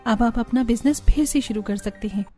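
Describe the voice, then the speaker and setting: A woman's voice: fairly high pitch, fairly quick, mellow, faint music behind, close to an everyday voice. A woman voicing a determined, dutiful young daughter-in-law in a cartoon, earnest and reassuring.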